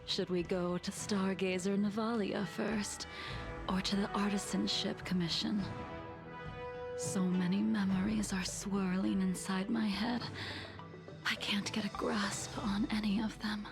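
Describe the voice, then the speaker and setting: An actress voicing a calm, weary and wistful older woman in a game, speaking slowly and teasing gently. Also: mid-range voice, creaky, music in the background. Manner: slow, measured, gently teasing. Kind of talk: game voice acting. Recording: game score playing underneath.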